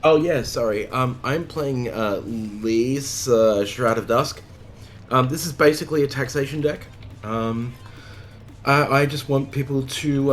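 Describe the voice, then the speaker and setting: A man's voice: mid-range voice, a little hiss or hum. Australian man, voice worn from covid. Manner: frank, swears casually, rambling with ums. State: recovering from covid, voice affected. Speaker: streamer and co-host. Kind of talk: casual chat over a card game.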